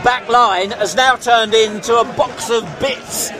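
Excitable play-by-play man, high-pitched, slightly worn voice, faint crowd behind. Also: measured pace, over music. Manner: excitable, shouts at goals, self-mocking. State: losing his voice. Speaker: football commentator. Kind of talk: live play-by-play commentary. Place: outdoors at a football ground. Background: crowd noise.

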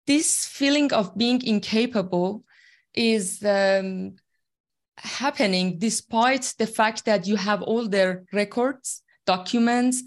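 A woman from Iran whose first language is Farsi, a tech team leader speaking as an interview guest, explaining earnestly from her own experience with many fillers. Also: medium pitch. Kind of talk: interview conversation.

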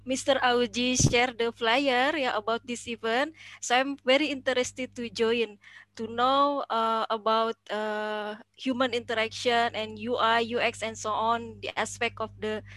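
A woman from a university introducing herself in an online meeting, polite and eager, pausing often to find her words. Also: a little high, steady tone, fairly bright tone, no echo. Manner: polite, eager, many hesitations. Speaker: university attendee. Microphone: video call.

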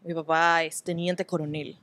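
A woman's voice: low-pitched, little pitch movement, normal speed, fairly bright tone, no room sound. A woman, a guest telling stories in a casual interview. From Dominican Republic. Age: 20s. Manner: chatty, laughing, storytelling.

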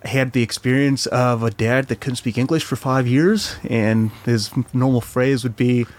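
A middle-aged man from Chile with an American accent, telling his life story as a guest in a casual interview, halting with many fillers and restarts. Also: medium pitch, steady tone.

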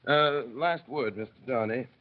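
An actor playing a dissolute, bitter, hard-drinking gentleman in an old radio drama, with a slurred, rambling, sardonic drawl. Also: medium pitch, moderate pace, natural voice. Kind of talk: 1930s radio drama acting. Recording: muffled old radio broadcast.

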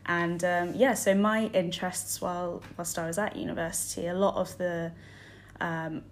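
A woman in her twenties co-hosting a podcast, relaxed and friendly, laughing often as she talks. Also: lowish voice, moderate pace, slight background noise. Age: 20s. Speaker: podcast co-host. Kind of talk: casual chat.

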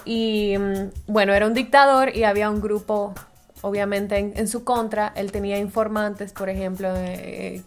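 A woman with a Dominican accent talks casually on a chat show, her voice sitting at an ordinary middle pitch. She works through her explanation with plenty of fillers and self-corrections, and faint music plays behind her. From Dominican Republic. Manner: explains with many fillers and restarts. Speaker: co-host.

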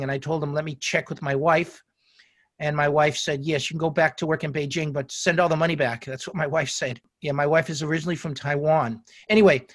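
An older man answers interview questions at length, his voice sitting a little high for a man. He talks in a storytelling way, often restarting and correcting himself mid-phrase. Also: fairly bright tone. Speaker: guest.

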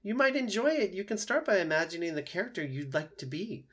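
A man's voice: sits high, big pitch swings, brisk, fairly bright tone, slightly rough, pitched above the performer's own voice, heavily acted. A man voicing a chatty, friendly female opossum with a theatrical, exaggerated delivery for a storybook read-aloud. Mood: theatrical, proud.

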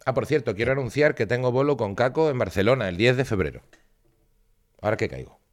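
A young man from Andalusia, co-hosting a casual comedy podcast with a playful, deadpan manner and sudden loud bursts. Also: mid-range voice, fast talker. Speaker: podcast co-host.

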